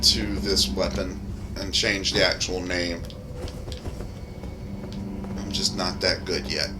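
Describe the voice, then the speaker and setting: A man's voice: low voice, little pitch movement, slow pace, noisy background. A man giving casual, rambling, explanatory gameplay commentary with some offhand swearing, as a gamer showing off his own mod. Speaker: game streamer and modder. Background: music.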